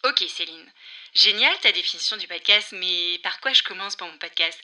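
A woman voicing an eager would-be podcaster listener who asks a quick, enthusiastic question. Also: on the low side, sharp tone.

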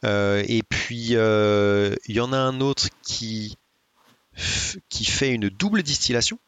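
A man telling stories in a casual chat, hesitant, with many pauses and restarts. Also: lowish voice, a bit bright, smooth, no echo. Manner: hesitant, many filler sounds and restarts, storytelling. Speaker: podcast guest.

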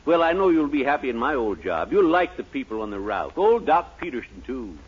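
A man's voice: mid-range voice, sing-song, husky. An actor playing an amiable, folksy older small-town American man in a 1940s radio comedy. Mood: amiable, amused. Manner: folksy, drawn-out punchlines. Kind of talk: 1940s radio sitcom acting. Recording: muffled, hissy 1940s radio recording.

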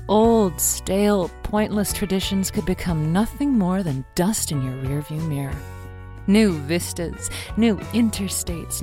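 An actress playing a wry late-night advice show host in an audio drama, sardonic and smooth with a poetic streak. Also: female voice, lowish voice, a little mellow. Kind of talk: semi-improvised audio drama, radio monologue.

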